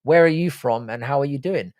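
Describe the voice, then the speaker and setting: A man's voice: mid-range voice, a little fast, darkish tone. South london bloke in his 40s, rambly and sweary, reading the live chat out and answering. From South London, England. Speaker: live stream host. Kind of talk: casual live stream chat.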